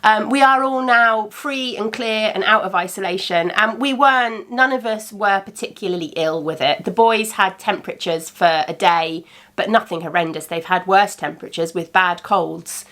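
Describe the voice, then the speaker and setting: Bright, chatty woman podcast host. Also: medium pitch, moderate pace. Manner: chatty and rambling, many ums. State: congested from a cold. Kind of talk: casual chat.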